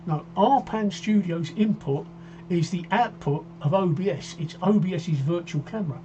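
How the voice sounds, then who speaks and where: A man's voice: high-pitched, moderate pace, warm tone, lo-fi sound, slight background noise. A man in his sixties hosting a casual live stream, chatting in a rambling way and often repeating himself while he reads out viewer comments. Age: 60s. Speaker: livestream host. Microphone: desk microphone.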